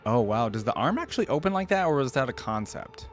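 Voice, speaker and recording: A man with a mid-pitched voice hosts a reaction video, commenting casually as he watches. He talks in an informal, conversational way, with music playing behind him. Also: sing-song, fast.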